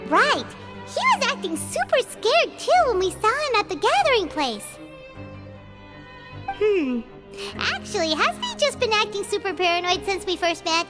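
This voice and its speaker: A woman's voice: very high-pitched, big pitch swings, moderate pace. A woman voicing a small, bubbly female fairy companion in a game who is pushy and talks about herself in the third person. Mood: inquisitive. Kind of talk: game voice acting. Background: game music.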